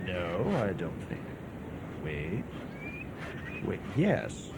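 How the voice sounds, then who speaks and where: A man's voice: sits low, sing-song, extremely slow, noisy background, heavily acted. A man playing a helpful army subordinate in a radio drama, thinking aloud as he reports. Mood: dutiful.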